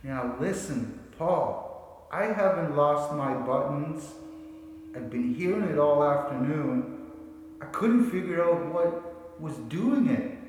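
Give this to a man playing an earnest, excitable researcher in a 1940s radio play. His voice is high and very animated, yet deliberately slow. It echoes heavily in a hall. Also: slight background noise. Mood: earnest, urgent. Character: Norman, earnest excitable male researcher, awed and insistent.

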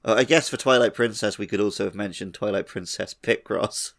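A man's voice: fairly low pitch, a little fast, a bit bright. A man chatting casually and giving his opinions on a podcast. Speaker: podcast guest or panellist. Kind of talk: casual chat.

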